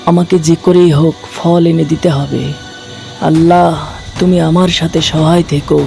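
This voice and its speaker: A man's voice: fairly high pitch, little pitch movement, moderate pace, creaky, mellow, soft background music. A man voicing a humble, devout villager in a cartoon, earnest, anxious and pleading. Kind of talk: acted cartoon monologue.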